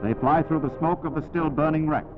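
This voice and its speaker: A man's voice: on the high side, steady tone, brisk, music in the background. A man narrating a scripted 1940s wartime newsreel in a dramatic, declamatory style with emphatic short phrases. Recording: tinny 1940s newsreel soundtrack, narrow band.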